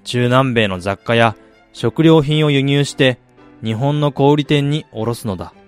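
A man acting in a radio drama as a driven businessman and husband, telling his life story in a measured, reflective way. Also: mid-range voice, clean tone, natural voice. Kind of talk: radio drama monologue, literary narration. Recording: music bed behind the voice.

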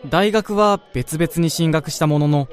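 Radio drama: reserved male narrator-friend, acted by a man, slightly high voice, understated delivery, light music underneath. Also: unhurried, close to an everyday voice. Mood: reflective. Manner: understated, low-key reactions. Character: reserved, detached man, narrator among old school friends.

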